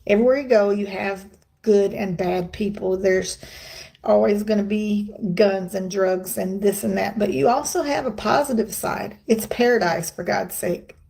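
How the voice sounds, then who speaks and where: A middle-aged American woman speaks to her followers in a chatty, emphatic way, drifting into asides. Her voice has a gravelly, dark tone throughout. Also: medium pitch, moderate pace. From United States. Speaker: vlogger. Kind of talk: casual vlog monologue.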